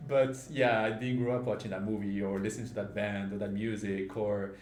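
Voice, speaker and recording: A man from Morocco hosting a podcast, telling stories in a casual, animated way. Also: fairly quick. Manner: animated, grows lively and high when telling stories. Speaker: podcast host. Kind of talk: casual conversational storytelling. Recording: roomy echo.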